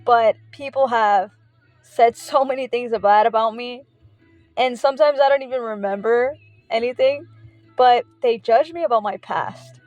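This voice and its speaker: A woman speaking earnestly in a personal faith vlog, heartfelt and halting, often pausing on filler words. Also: a little high, darkish tone, no room sound, muffled recording, faint music behind. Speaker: faith vlogger.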